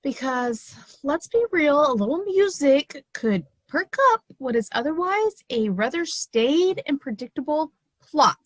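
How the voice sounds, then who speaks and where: A woman's voice: sits high, animated, measured pace, hardly any pauses, no room sound, low-quality recording. A woman playing an eager, attention-seeking costume and makeup artist in an amateur theater comedy, perky and theatrical. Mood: defensive, showy. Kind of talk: comedic web series acting.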